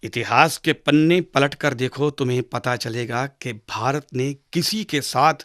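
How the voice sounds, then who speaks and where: A man playing a patient father who teaches his son in a measured, didactic way, with dramatic pauses, in a radio drama. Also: mid-range voice, fairly bright tone, no room sound, studio quality, natural voice. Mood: earnest. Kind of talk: Hindi radio drama acting.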